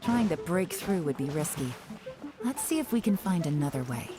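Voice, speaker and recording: A cool female secret agent from a video game, confident and composed. Her voice sits fairly low but moves expressively, over clear background music. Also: very animated, normal speed. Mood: composed, assured.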